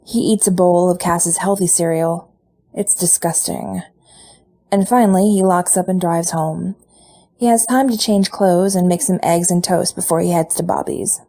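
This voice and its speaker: A woman reads a story aloud in a mellow, fairly low voice. She tells it at an easy pace and gives the dialogue light voices. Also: fairly even intonation, moderate pace. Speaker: podfic reader. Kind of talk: reading fan fiction aloud.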